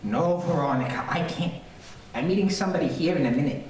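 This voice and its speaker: A woman's voice: low voice, a little fast, darkish tone, close to an everyday voice. A woman playing a laconic, nervous, good-natured teenage boy sidekick with short, hesitant, goofy replies, in old-time radio comedy style. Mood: awkward, evasive. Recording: distant, very echoey room with hiss.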